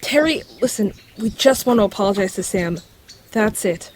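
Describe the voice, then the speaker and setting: A woman's voice: mid-range voice, lively intonation, moderate pace, slight background noise, natural voice. A woman playing an earnest, responsible friend in an audio drama, speaking in a direct, coaxing way. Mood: pleading.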